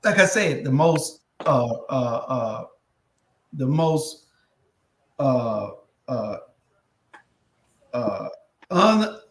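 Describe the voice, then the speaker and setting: A man in his sixties hosting a casual live-stream panel chat, talking haltingly with many fillers and restarts. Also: mid-range voice, sing-song, reverberant. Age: 60s.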